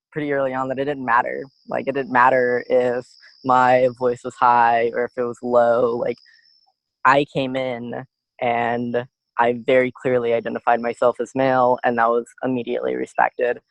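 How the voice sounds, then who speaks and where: Young man with a gravelly voice. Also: medium pitch, no room sound, low-quality recording. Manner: earnest, reflective, frequent "you know" and "like". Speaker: student guest. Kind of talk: conversational podcast interview.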